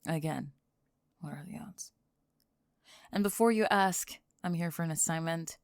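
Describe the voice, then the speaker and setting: A woman's voice: fairly low pitch, creaky, darkish tone, dry recording, clean studio sound. A young woman acting out a prickly, flustered goth girl student with a secret crush in an ASMR roleplay, sarcastic and defensive. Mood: aloof, defensive. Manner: sarcastic, defensive, halting and stammering when flustered. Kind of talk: ASMR roleplay acting, one-sided dialogue.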